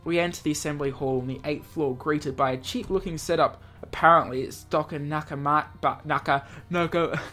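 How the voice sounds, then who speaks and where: A man's voice: on the high side, brisk, few pauses, pushed and bright, faint music behind. A man hosting a let's play, reading visual novel text dramatically and breaking off for playful asides. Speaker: gaming video host reading aloud.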